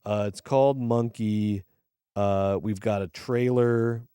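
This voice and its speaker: A young man co-hosting a casual movie podcast, excitable and profane, often jumping loud and high when he rants. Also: slow pace, smooth, no echo. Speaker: podcast co-host. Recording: dry close mic, live stream.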